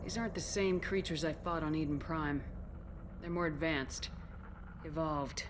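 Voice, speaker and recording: An actress playing a resolute military commander in a video game, calm, direct and authoritative. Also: female voice, low voice, unhurried, noisy background. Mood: commanding.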